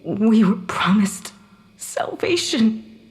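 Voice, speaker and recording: A woman playing the anguished, bitter ghost of a dead mother in an audio drama, often breathy and whispering, halting and accusatory. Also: mid-range voice, very animated, a little mellow. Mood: anguished, betrayed.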